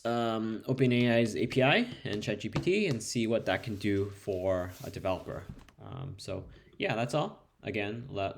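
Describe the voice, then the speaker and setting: A man's voice: fairly low pitch, a little hiss or hum. A man with Spanish as his first language, casually walking through a coding demo with plenty of fillers and restarts. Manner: loose and conversational, many ums and restarts. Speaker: developer demoing code. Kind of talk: casual screen-share tutorial.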